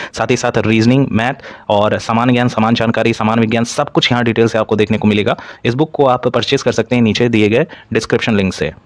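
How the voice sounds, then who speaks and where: A man's voice: medium pitch, no echo. Man presenting exam updates, dry close sound. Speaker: exam-news presenter for job aspirants. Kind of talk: informal explainer narration to camera-style audience.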